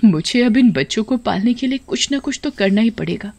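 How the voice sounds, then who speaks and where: A woman's voice: a little high, animated, fairly quick, darkish tone, pitched above the performer's own voice. A woman voicing a struggling young mother who speaks anxiously and pleadingly.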